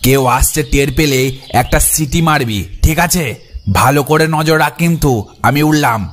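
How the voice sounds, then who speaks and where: An actor playing a bossy, boastful, scheming gang leader in a comic cartoon, with a pompous, blustering, scolding manner. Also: male voice, fairly high pitch, moderate pace, fairly bright tone, lightly acted. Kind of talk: exaggerated comic cartoon dialogue. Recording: music under the voice.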